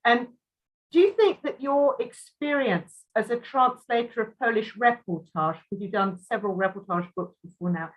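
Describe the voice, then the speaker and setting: A woman moderating a literary panel discussion, putting questions in measured, considered phrases. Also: fairly high pitch, dull audio.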